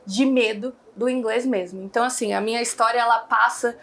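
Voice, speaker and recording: A woman's voice: on the high side, fairly even intonation, fairly quick. Woman, from Brazil, young adult, casual interview guest, rambling and chatty. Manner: chatty, rambling with many fillers, laughs often. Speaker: guest, founder of an online English school.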